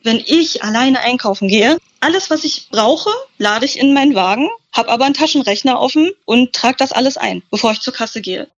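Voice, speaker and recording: A woman's voice: a little high, expressive, a little fast, few pauses, lo-fi sound. A woman in her twenties speaking directly and plainly about her own experiences in an interview. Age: 20s. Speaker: trainee. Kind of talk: personal testimony.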